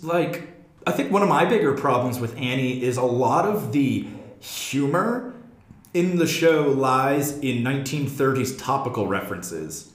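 A man's voice: mid-range voice, lively intonation, measured pace. A man hosting a casual theatre podcast discussion, speaking analytically and with opinions, posing questions to the group. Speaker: podcast host. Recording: echoey, reverberant room.

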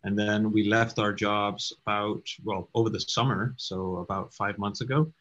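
A young man from Denmark, a startup co-founder talking casually in an interview, quick and stumbling over repeated words. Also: fairly low pitch, steady tone, a bit bright. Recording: dull lo-fi audio.

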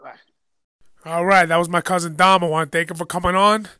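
A man hosting a casual sports podcast, excitable and slangy, sounding sniffly from a cold. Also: high-pitched, fairly quick, clean tone, no room sound, clean studio sound. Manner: excitable, slangy, says "bro" a lot. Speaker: podcast host. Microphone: podcast microphone.

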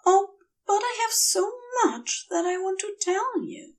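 A woman's voice: very high-pitched, animated, unhurried, distant mic, voice pushed up. A woman voicing a prim, dazed male angel in an audiobook, speaking with polite, rambling earnestness and longing. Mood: hurried confessing.

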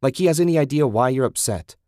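A furious wife yelling back at her husband in an audiobook story, as a man reads her in a lifted voice. She is loud and defensive. The sound is very dry and close. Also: fairly low pitch, expressive, moderate pace, dry recording. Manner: shouting, defensive, raised voice. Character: angry, exasperated wife in a marital fight.

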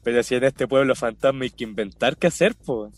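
A man's voice: medium pitch, a little fast, faint music behind, natural voice. A man playing a timid but bantering young Chilean small-town man with slangy, laid-back talk in a radio drama. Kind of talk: naturalistic radio drama acting.

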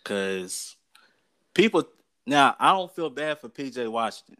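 An American man chats as a sports show co-host, with a mid-pitched voice. He is opinionated and emphatic, telling things in loose everyday speech and repeating his phrases to drive a point home. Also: big pitch swings, measured pace, pushed and bright. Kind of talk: casual opinionated sports and gossip chat.

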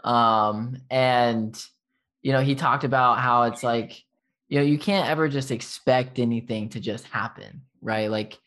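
A man's voice: mid-range voice, steady tone, measured pace, a bit bright. Texan male podcast host, laid-back, rambly, slangy, easygoing chat. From Texas. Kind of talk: casual interview chat.

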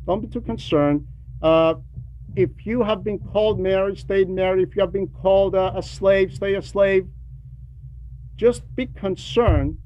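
Slow, higher-pitched man preaching. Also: mellow. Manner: deliberate, with pauses between short phrases and repetition for emphasis; didactic, poses rhetorical questions. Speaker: religious teacher reading a translated homily to a class. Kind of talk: homily, teaching a class. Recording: dull lo-fi recording with faint noise.

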